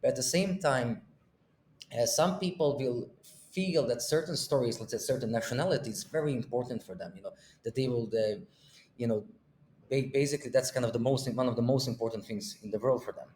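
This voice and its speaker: A man's voice: mid-range voice, a little fast, darkish tone, roomy sound, slight background noise. A man in his thirties, born in Bosnia and raised in Sweden, speaking in a thoughtful, casual way with many fillers and restarts as a podcast guest. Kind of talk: casual conversation.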